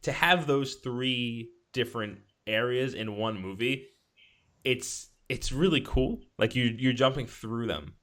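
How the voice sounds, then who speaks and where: A man's voice: medium pitch, unhurried, a bit bright. A man co-hosting a podcast, talking in a casual, rambling and enthusiastic way as he reviews a film. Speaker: podcast host. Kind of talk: casual movie review chat.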